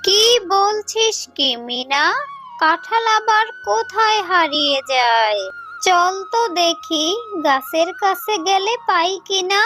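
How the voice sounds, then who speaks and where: A woman's voice: very high voice, animated, takes it slowly, bright, forceful tone, full character voice. A woman voicing a caring, upright mother vixen in a children's cartoon, with an emphatic, exclaiming manner. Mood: worried. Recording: music behind the voice.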